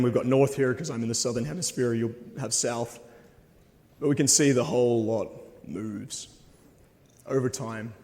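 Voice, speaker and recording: A man giving a conference talk with slides, slightly hoarse and hesitant, with frequent ums. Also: medium pitch, darkish tone. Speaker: conference speaker. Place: conference hall. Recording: long hall reverb.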